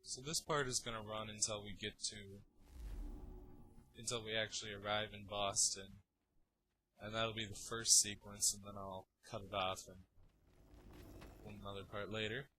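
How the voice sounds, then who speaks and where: A man's voice: on the low side, level tone, moderate pace, pauses often, sharp tone. A man doing casual let's play game commentary in a joking, sarcastic, crude way. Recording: very noisy, game sound behind.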